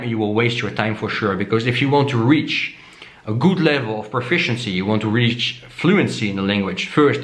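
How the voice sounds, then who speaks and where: A man whose first language is Dutch, casually talking to camera as a vlogger, often asking "okay?" and filling pauses with "uh". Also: medium pitch, a bit bright, roomy sound. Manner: repeats himself and tags "okay?" often, many "uh" fillers.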